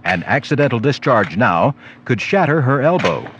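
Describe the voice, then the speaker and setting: A man's voice: mid-range voice, sing-song, normal speed. A man reading scripted instructional narration for a training film. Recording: dull lo-fi old videotape with noise.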